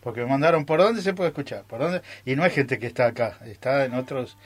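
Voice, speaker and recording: A man's voice: mid-range voice, lively intonation, brisk. A man with an Argentine accent chats casually and jokingly as a guest in a radio studio. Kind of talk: casual live radio chat.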